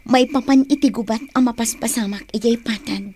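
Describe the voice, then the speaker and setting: A woman plays an earnest, caring tribeswoman with an Ilocano accent. Her voice sits fairly high, in a radio drama recording with faint noise. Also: fairly quick, a little mellow, close to an everyday voice. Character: caring, earnest young tribeswoman, chief's daughter.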